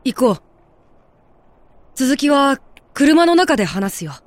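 An actor in a Japanese audio drama playing a composed figure who explains things in a measured way and gently guides others. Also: sits high, very animated, no echo, heavily acted. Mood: grave, explanatory.

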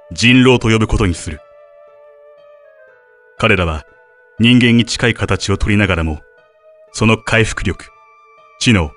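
Low-voiced, solemn male researcher played by an actor. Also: a bit bright. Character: father and researcher reading his diary, grave, devoted. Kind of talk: dramatic narration of diary entries.

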